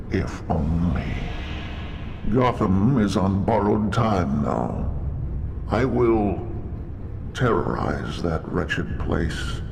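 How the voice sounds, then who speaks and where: An actor playing a menacing masked mastermind in a game, taunting with theatrical, deliberate delivery. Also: male voice, fairly low pitch, creaky, noisy background, exaggerated voice. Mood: cold threatening. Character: menacing male masked mastermind villain, coldly taunting a prisoner. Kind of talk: video game cutscene acting.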